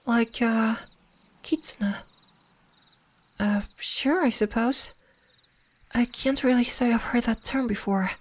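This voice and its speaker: A woman playing a gentle, curious kitsune fox spirit in an ASMR roleplay, speaking softly, soothingly and a little hesitantly. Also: a little high, takes it slowly, pauses often. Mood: gentle, slightly shy. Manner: soft-spoken, soothing, hesitant, at times whispering.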